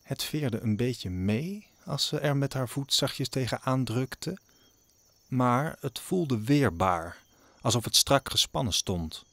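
A man's voice: medium pitch, expressive, takes it slowly, fairly bright tone, no echo. A man narrating an audio drama as a scripted, dramatic story. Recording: music under the narration.

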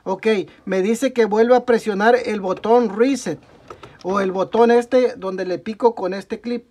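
A young man with a Mexican Spanish accent, walking through a computer repair step by step at his workbench, often pausing to correct himself. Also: high voice, fairly even intonation, darkish tone. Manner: talks through each step while working, frequent "ok, amigos" and self-corrections. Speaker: tech tutorial host. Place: indoor workbench at a desk.

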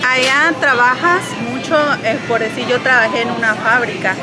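A woman's voice: fairly high pitch, moderate pace. A woman in her forties from Mexico with a northern Mexican accent, chatting relaxedly in a supermarket interview. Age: 40s. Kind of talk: casual street interview. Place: large supermarket indoors.

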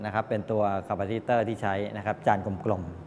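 An older man giving a classroom lecture as a teacher, explaining in a halting way with frequent polite particles. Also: fairly even intonation, a little mellow. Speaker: technical college lecturer. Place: medium-sized indoor classroom with students. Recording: reverberant room, clear background noise, low rumble.

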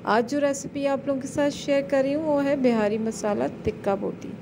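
A woman with a somewhat high voice narrates a home recipe in calm, step-by-step instructions. Her voice-over is recorded close to the mic. A steady noise and the rumble of vehicles stay clearly audible beneath her. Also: a little fast. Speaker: cooking channel host.

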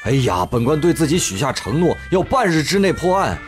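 A pompous and silly magistrate from a comedy radio play. His pitch swings widely as he sighs and exclaims grandly, with music playing behind him. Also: sing-song, brisk. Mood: theatrical. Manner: theatrical, grandiose sighing and exclaiming. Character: pompous, silly yet upright Tang dynasty male magistrate. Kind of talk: comedy radio drama acting.

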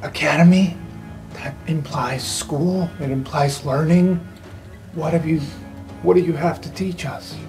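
A skeptical teenage boy superhero played by a man in a tabletop game. His voice is on the high side, and he fires curt, probing challenges over faint music. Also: fairly even intonation, measured pace, darkish tone. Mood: challenging.

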